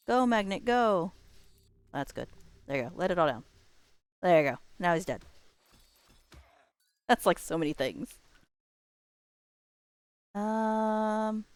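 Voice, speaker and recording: A woman's voice: on the low side, gravelly, no echo. A woman doing casual live commentary as she plays a game, thinking aloud and talking to herself and to the game. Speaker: game streamer.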